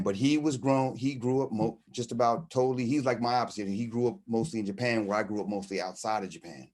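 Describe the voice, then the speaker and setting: A middle-aged man telling a personal story in a casual monologue, halting and rambling, with slangy conversational asides. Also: mid-range voice, a little mellow. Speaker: storyteller explaining a term from experience.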